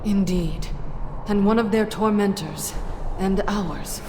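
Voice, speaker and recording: An actress playing a grave, weary but resolute captive sorceress in a dramatic video game scene. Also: female voice, medium pitch, slow pace, noisy background, close to an everyday voice. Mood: grim. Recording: loud music over the voice.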